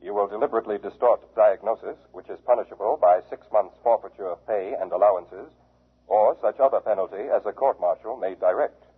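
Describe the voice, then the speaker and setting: An actor playing a cold, pedantic robot testing machine in a 1950s radio drama, with formal, clinical, measured delivery. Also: male voice, lowish voice, husky. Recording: muffled, phone-like old radio broadcast.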